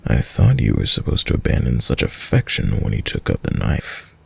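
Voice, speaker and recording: A man playing a dominant, sadistic vampire lord in an intimate audio roleplay, speaking in a deep, breathy, gravelly voice with courtly, teasing menace. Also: huge pitch swings, darkish tone, heavily acted. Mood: mocking, silky. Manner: slow, silky, formal, teasing menace with drawn-out pauses.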